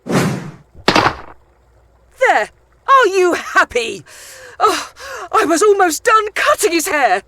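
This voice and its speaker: A woman's voice: very high voice, big pitch swings, very slow, pauses often, sharp tone, slight background noise, exaggerated voice. A woman playing a fierce, protective dusk elf vampire in an audio drama, bickering and doting theatrically. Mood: defiant, protective.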